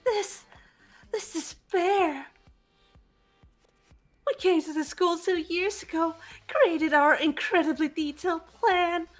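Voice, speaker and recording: A woman's voice: very high-pitched, slow pace, pauses often, soft background music, voice pushed up. A woman voicing a manic teenage girl mastermind villain from a video game, theatrical, mocking and gushing. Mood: ecstatic, gloating. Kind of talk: fan voice acting of a video game script.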